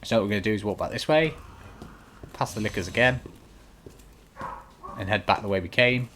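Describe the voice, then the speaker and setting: A man with a fairly low voice talks viewers through a video game. His commentary is casual and instructional, matter-of-fact and reassuring. There is clear noise and some clicking behind him. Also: a little fast, fairly bright tone. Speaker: gaming video host giving a walkthrough. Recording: clearly noisy home recording.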